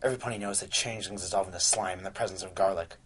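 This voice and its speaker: A man's voice: sits low, very fast, fairly bright tone. A man playing a scheming, bumbling pony who mutters melodramatically to himself in a cartoonish radio play. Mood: grumbling, scheming.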